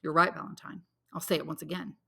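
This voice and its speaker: A woman's voice: low voice, flat delivery, quick pace, vocal fry, sharp tone, slightly rough, exaggerated voice. A woman voicing an intense, honorable, lovelorn young man in a dramatic audiobook, speaking earnestly and solemnly. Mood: bitter resignation. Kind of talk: dramatic audiobook character reading.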